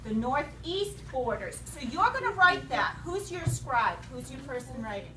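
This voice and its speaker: A high-pitched woman's voice carries across an echoey classroom, with clear noise around it. She teaches young students, putting questions to them and repeating their answers as she goes. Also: big pitch swings, normal speed, pushed and bright. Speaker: elementary school teacher. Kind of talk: interactive classroom read-aloud lesson. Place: indoor school classroom. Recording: roomy, distant, noisy classroom recording.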